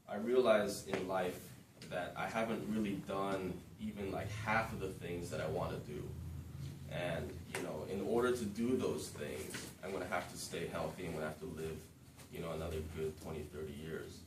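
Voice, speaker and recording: Deep-voiced man talking to a class. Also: moderate pace. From born in the United States, raised in Japan. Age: 30s. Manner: casual, frequent "um" and "okay?" check-ins. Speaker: guest speaker to students. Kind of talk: informal classroom talk. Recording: roomy, distant, noisy room recording.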